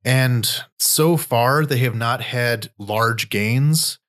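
A man's voice: mid-range voice, drawn-out words, a bit bright. A man hosting a podcast, talking through war news in a loose, conversational way and often restarting his sentences. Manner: loose, thinking aloud with frequent restarts. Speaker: podcast host and war reporter.

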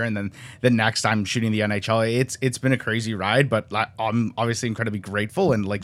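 Fast-talking young man from Canada. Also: lowish voice. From Canada, raised near Toronto; born in Colombia. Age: 20s. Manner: quick and chatty, packs in lots of "like" fillers. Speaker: podcast guest, sports cinematographer. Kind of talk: casual interview chat.

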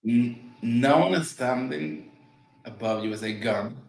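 A man, a real estate agent guest, speaking in blunt, short emphatic phrases with halting English. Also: mid-range voice, a little mellow, roomy sound, a little hiss or hum, poor audio. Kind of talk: casual interview chat.

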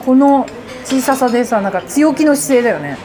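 Lively high-voiced woman chatting in a restaurant. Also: brisk. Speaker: podcast host. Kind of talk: casual chat. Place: restaurant, recorded while eating. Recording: busy restaurant chatter behind. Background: crowd chatter.

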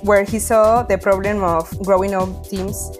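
A woman's voice: medium pitch, level tone, a little slow. Woman with over music. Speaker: podcast co-host. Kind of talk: guest introduction and interview questions.

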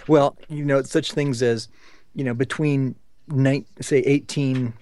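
A man co-hosting an interview, talking casually in a discursive, halting way. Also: medium pitch, fairly even intonation, a little mellow, no echo. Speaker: co-host.

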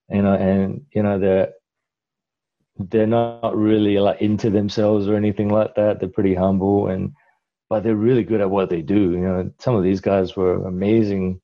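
A man's voice: fairly low pitch, level tone, normal speed, dull audio. A middle-aged man from Malaysia, a guest in a casual interview, telling his story in a rambling way with lots of fillers and restarts.

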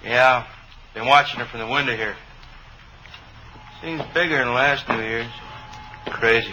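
A man's voice: mid-range voice, measured pace, sharp tone. A man acting a weary, folksy police sergeant on night duty in a 1950s radio crime drama. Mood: resigned, chatty. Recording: noisy vintage radio recording.

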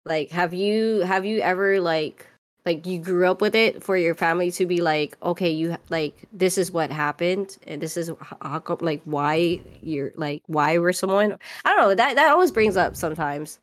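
A woman's voice: fairly low pitch, moderate pace, no room sound. A woman from Hawaii who is a podcast guest, chatting casually with lots of "like" and frequent laughs.

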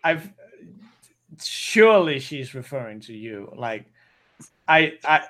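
A man's voice: mid-range voice, big pitch swings, slow pace, a bit bright. A young man from Denmark with a Danish accent, chatting casually, often laughing and stumbling over restarts. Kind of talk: casual conversation.

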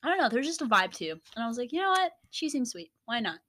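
A young woman co-hosting a casual podcast, with a voice she calls deeper than people expect, bubbly and rambling. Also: very animated, fast. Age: young adult. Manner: bubbly, loud laughs, rambling asides. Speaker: podcast co-host. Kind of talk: casual chat.

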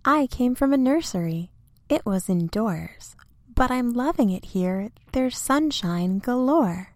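A woman voicing a small, scared young male tree in a children's storybook, speaking high and pleading. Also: expressive, slow, darkish tone, no echo, studio quality. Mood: cheerful.

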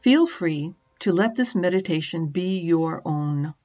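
A middle-aged woman narrating a guided meditation as a teacher, gently and in short measured phrases with long pauses. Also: fairly low pitch, expressive, muffled recording, faint music behind.

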